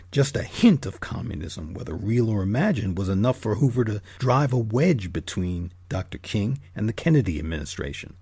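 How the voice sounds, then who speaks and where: A man narrating a scripted history documentary as host, in a measured, emphatic manner. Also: on the low side, dark tone, breathy edge, no echo.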